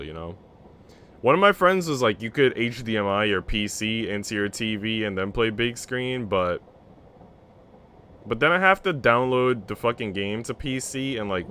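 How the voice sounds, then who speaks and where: A man with a fairly low voice hosts a game stream. He talks in a loose, slangy, rambling way and moves between chatting and reading story text aloud. Some background noise sits behind his voice. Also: a bit bright. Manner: slangy, rambling, swears casually. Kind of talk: casual livestream chat mixed with reading a visual novel aloud.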